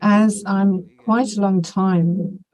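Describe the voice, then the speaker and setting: A mellow, dark-toned voice renders a speaker's words into English at a university panel. The interpretation comes in halting bursts with fillers and restarts. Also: medium pitch, fairly even intonation, low-quality recording. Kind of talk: simultaneous interpretation. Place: university faculty event.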